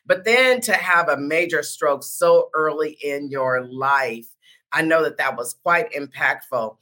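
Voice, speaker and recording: A woman's voice: sits low, unhurried, pushed and bright. A woman hosting a conversational interview, responding to her guest with sympathy. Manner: sympathetic, reactive. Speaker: show host, interviewer.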